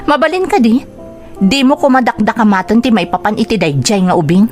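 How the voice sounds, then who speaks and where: A woman's voice: fairly high pitch, expressive, brisk, a little mellow, faint music behind, close to an everyday voice. A woman playing a hurt, confused mother in a melodramatic radio drama, with an Ilocano accent. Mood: indignant. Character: hurt, confused young mother rejected by her own child.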